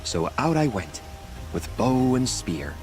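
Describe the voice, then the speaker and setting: An actor playing a romantic former adventurer in a video game, speaking in a flowery, poetic, theatrical way. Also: male voice, mid-range voice, sing-song, slow pace, darkish tone, soft background music. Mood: proud.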